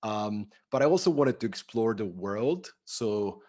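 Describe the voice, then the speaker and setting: A man's voice: on the low side, expressive, unhurried, darkish tone. A man from Bulgaria answering conversationally as an interview guest, with an enthusiastic manner and emphatic phrasing. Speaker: podcast guest, entrepreneur and productivity speaker.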